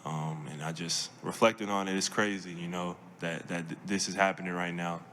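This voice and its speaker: Deep flat-voiced young man. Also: slight background noise. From Seattle, Washington. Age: young adult. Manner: measured, many fillers and restarts. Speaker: draft pick at press conference. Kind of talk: press conference answers. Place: press conference room.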